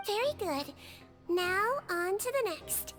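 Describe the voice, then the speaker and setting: A woman's voice: very high voice, lively intonation, slow pace, a bit bright, soft background music, heavily acted. A woman voicing a tiny childlike nurse in a video game, soothing and neatly professional. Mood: gentle, reassuring.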